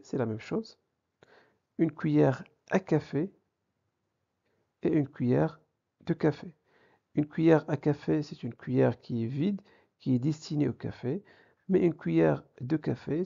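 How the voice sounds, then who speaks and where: A man's voice: on the high side, creaky, warm tone, breathy edge. A man teaching a language lesson in a didactic way, posing questions and answering them himself, in a slightly dull recording. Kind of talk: grammar lesson with examples.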